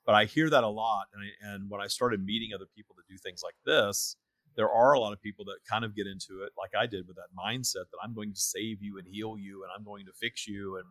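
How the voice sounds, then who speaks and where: A man's voice: lowish voice, steady tone, fast talker, fairly bright tone, dry recording, rough recording. A man talking earnestly and reflectively about spiritual practice in a conversational way, as a show host, with emphasis on his points.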